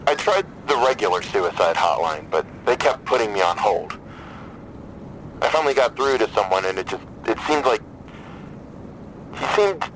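A man acting in an audio drama as a troubled, despairing caller, speaking in a halting, brooding way with stammers and trailing phrases. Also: fairly low pitch, animated, a bit bright, a little hiss or hum, poor audio. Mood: hesitant, apologetic. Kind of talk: full-cast audio drama dialogue.

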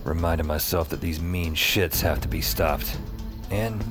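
A man's voice: sits low, a little slow. An actor playing a cocky, battered fantasy hero who narrates his own exploits in casual, sarcastic asides for an audio drama. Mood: wry, cocky. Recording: loud music and effects behind.

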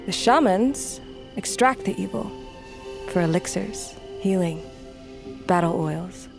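A woman acting a young, confident, teasing warrior heir in a fantasy audio drama, with measured, archaic phrasing. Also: on the low side, lively intonation, gravelly, music in the background, full character voice. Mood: calm, knowing.

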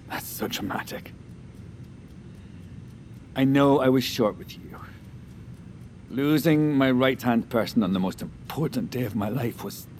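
An actor playing a commanding, persuasive scientist and inventor in a modern audio drama, speaking with smooth control. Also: male voice, medium pitch, a little mellow, a little hiss or hum.

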